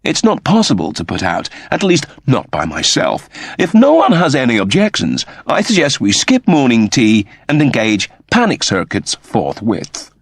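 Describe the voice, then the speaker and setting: A man's voice: medium pitch, huge pitch swings, moderate pace, few pauses, slightly rough, dry recording, clean studio sound, voice pushed up, exaggerated voice. A man voicing a polite, unflappable servant android with a soothing, deferential manner in an audiobook story. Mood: polite, calm. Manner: soothing, deferential, cheerful understatement.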